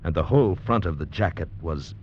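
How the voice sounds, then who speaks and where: An actor playing a grave, steady convict who narrates an adventure in measured, tense old radio style. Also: male voice, low voice, a little hiss or hum. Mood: grave, suspenseful. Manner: measured, tense, deliberate storytelling. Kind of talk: 1940s-50s radio drama narration and acting. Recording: muffled old radio broadcast, music behind.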